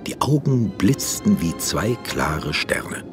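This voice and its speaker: An actor narrating a fairy tale audio drama in a measured, expressive, literary manner. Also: male voice, low-pitched, music in the background, heavily acted. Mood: storytelling.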